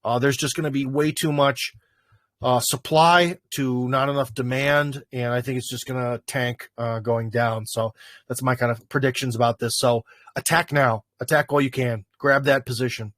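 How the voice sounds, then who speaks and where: A man's voice: mid-range voice, steady tone, normal speed, fairly bright tone, dry recording. Rambling man on a dry mic. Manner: rambling, filler-heavy, thinking aloud. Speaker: livestream host answering viewer questions. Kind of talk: casual livestream chat.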